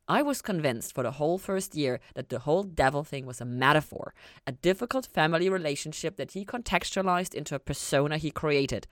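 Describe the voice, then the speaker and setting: A woman's voice: low voice, a little fast, dry recording, studio quality, lightly acted. A woman reading audiobook-style dialogue as a reassuring, frank therapist friend, confiding and emphatic. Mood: wry.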